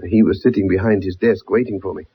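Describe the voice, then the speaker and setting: An actor playing an earnest, persistent ex-soldier in a radio drama, polite but insistent as a troubled, loyal friend. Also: male voice, low voice, fairly even intonation, fast, vocal fry, breathy edge, exaggerated voice. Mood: troubled, recounting. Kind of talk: 1960s radio drama acting, first-person narration. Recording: muffled old radio broadcast recording.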